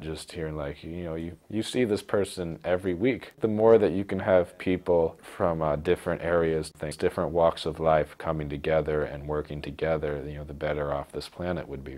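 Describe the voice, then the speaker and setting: A man's voice: very deep voice, darkish tone. A man taking part in a workshop, talking loosely in an interview and searching for his words. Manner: loose, searching phrasing with fillers. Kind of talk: casual interview.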